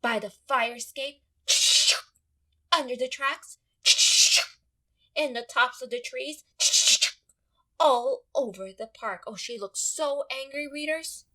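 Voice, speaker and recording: A woman teacher giving an expressive read-aloud lesson, speaking directly to young readers and repeating her questions. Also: high-pitched, takes it slowly, long pauses, poor audio. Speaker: elementary school teacher.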